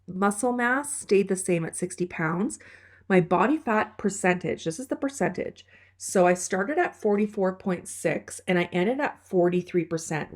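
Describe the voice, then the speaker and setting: A woman's voice: on the low side, darkish tone. A woman in her thirties vlogging casually, nasal and stuffed up from a head cold. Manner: chatty, rambling explanations. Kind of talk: casual vlog talk.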